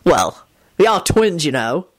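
A woman's voice: low-pitched, big pitch swings, a little slow, full character voice. A woman voicing a cocky young male twin in a story, matter-of-fact and consoling.

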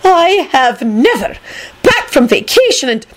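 A woman's voice: high-pitched, very animated, unhurried, pitched above the performer's own voice. A woman voicing a strict older woman professor in a storybook reading, shrieking, haughty and snappish. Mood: wrathful.

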